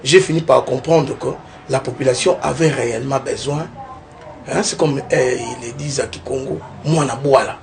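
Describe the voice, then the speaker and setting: A young man from the Kongo Central region of DR Congo, a political candidate giving a TV interview, talking in a lively way and often tagging his points with a rising question. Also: medium pitch, normal speed, gravelly. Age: young adult. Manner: animated, keeps adding "n'est-ce pas?", rising tone on points.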